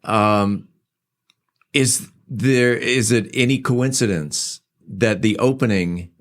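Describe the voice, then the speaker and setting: Guy hosting a podcast interview, kinda deep voice, feels his way through questions. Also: slow pace. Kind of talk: conversational interview.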